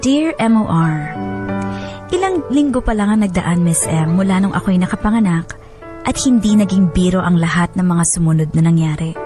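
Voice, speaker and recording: A woman's voice: lowish voice, animated, normal speed, close to an everyday voice. A woman acting as a weary young new mother, narrating her story as a dramatized radio letter in a confiding way. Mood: weary, plaintive. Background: music.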